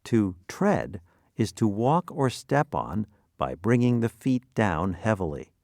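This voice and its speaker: A man narrates scripted word definitions for a lesson. His slightly low voice has a creaky edge and lively intonation, in a dry recording. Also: takes it slowly, dry recording. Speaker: vocabulary narrator.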